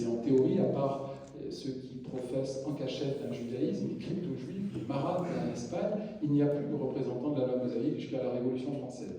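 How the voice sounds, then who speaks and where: A man's voice: mid-range voice, dark tone, a little hiss or hum. A man hosting a public lecture and giving a formal introduction of the guest, reading a list of titles with small restarts. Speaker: host introducing a guest speaker. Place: large lecture hall. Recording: echoey hall, mic picked up from a distance.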